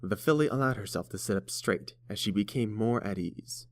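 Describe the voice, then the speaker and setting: A man's voice: fairly low pitch, normal speed, no room sound. A man narrating a story from a script as a storybook narrator.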